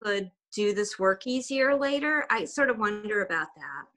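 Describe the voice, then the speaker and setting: A woman's voice: mid-range voice, expressive, normal speed, sharp tone. A woman whose first language is German and Swiss German, chatting casually as a guest on a video call, rambling a little with restarts. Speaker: guest artist.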